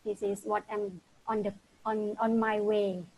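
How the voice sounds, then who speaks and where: A woman who is a lecturer and doctoral student, tired late at night, hesitantly describing her research on a video call and filling pauses with many ums. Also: medium pitch, level tone, a little mellow, slight background noise, rough recording. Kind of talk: introducing her research in an online meeting.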